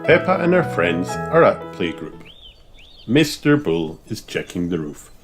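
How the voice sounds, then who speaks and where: Male storybook narrator: low register, hugely varied intonation, unhurried delivery. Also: very animated, music in the background. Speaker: children's book narrator. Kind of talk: expressive read-aloud storybook narration.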